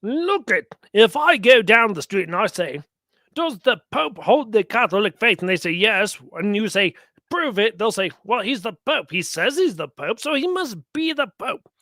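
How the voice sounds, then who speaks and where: Bright, animated, sarcastic religious commentator. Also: medium pitch, normal speed, dry recording, studio quality. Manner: mocking, acts out imagined exchanges in sarcastic asides. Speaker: commentator reacting to clips.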